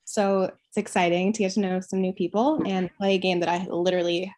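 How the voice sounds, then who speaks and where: A woman's voice: medium pitch, level tone, a little fast, darkish tone. A woman in her twenties from Connecticut, upbeat and chatty on a video call. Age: 20s. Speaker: player.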